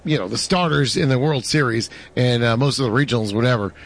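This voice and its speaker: A man with a raspy voice hosting casual sports talk radio, steering the conversation with quick questions and banter. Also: mid-range voice. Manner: gravelly, banter-driven, quick asides.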